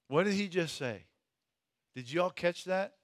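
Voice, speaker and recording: Male teacher, fairly high voice, informal and emphatic, question-led delivery, very dry recording. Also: animated, unhurried, no echo. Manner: conversational, asks questions, emphatic key words. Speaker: Bible teacher leading a group study.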